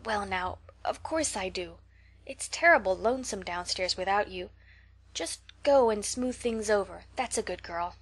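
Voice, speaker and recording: A woman voicing a shy, gentle elderly farmer who talks in a soft, hesitant, coaxing way. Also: mid-range voice, animated, measured pace, slightly rough.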